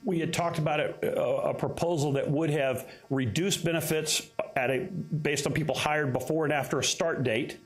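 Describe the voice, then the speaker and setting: A man's voice: a little high, steady tone, normal speed, hardly any pauses. A man giving firm, fluent, matter-of-fact explanations as a union representative at a public council meeting.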